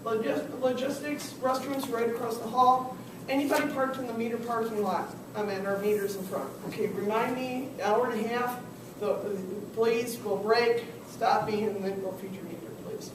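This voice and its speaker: A woman teaching a small business class, hoarse from losing her voice, chatting and tossing questions to the room. She is heard from far off in a very echoey classroom with clear background noise. Her voice sits at an ordinary pitch. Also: fairly even intonation, moderate pace. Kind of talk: classroom workshop instruction. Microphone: far camera.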